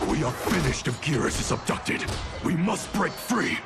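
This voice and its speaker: An actor playing a grave, ominous guardian of time in a video game, with a very gravelly voice. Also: male voice, on the high side, expressive, normal speed. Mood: urgent. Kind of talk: video game cutscene acting. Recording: loud game music underneath.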